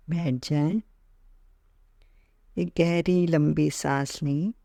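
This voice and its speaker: A man leading a guided meditation as an instructor, speaking calmly in short measured phrases with gentle pauses. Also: on the high side, creaky, no room sound, studio quality. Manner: mixes English words into Hindi, repeating terms in both.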